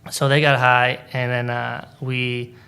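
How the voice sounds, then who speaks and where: A man's voice: medium pitch, flat delivery, takes it slowly, gravelly, bright, forceful tone. A young man from Boston, a Polish native speaker, telling stories in casual chat with lots of fillers and restarts. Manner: rambling storytelling with many fillers and restarts. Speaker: stand-up comedian guest.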